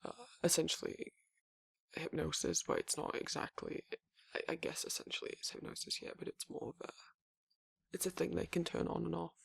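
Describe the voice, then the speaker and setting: A man performs a gruff but easygoing werewolf boyfriend for an ASMR audio drama. His voice sits a little high for a man, yet it is husky and thick with creak. He rambles casually, stopping and restarting as he explains things. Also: fairly even intonation, fairly bright tone, dry recording, heavily acted. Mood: musing, rambling. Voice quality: gravelly, husky. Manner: halting, rambling, casual swearing. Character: gruff, laid-back male werewolf boyfriend explaining monster lore. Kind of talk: intimate ASMR roleplay monologue.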